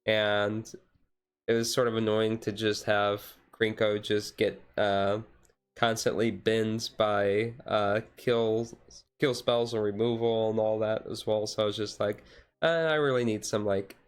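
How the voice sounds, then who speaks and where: A man streamer chatting casually in a relaxed, halting, rambling way, thinking aloud as he goes. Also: lowish voice, smooth. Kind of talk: relaxed casual chat about a card game, ASMR-style stream. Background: music playing softly behind the voice.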